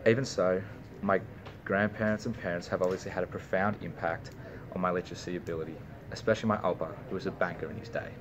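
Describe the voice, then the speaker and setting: A man's voice: deep voice, steady tone, quick pace, highs cut off, background noise. A young Australian man, a university student, reading a prepared personal speech with small slips and restarts. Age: young adult.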